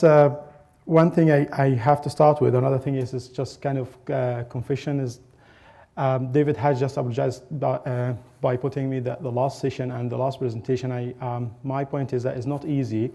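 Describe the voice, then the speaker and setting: A man gives an academic talk at a conference. His voice is mellow and dark, sitting at an ordinary pitch for a man, and his delivery is halting, with frequent pauses and restarts. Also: creaky. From Egypt. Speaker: academic presenter. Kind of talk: conference presentation.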